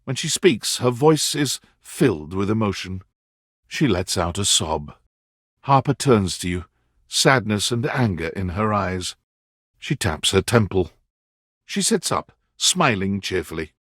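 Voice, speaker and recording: A man narrating a video game in a measured, articulate, scripted storytelling delivery. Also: on the low side, sing-song, fairly bright tone, breathy edge, no room sound, clean studio sound, exaggerated voice. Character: male narrator of a relationship drama game. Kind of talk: scripted second-person narration.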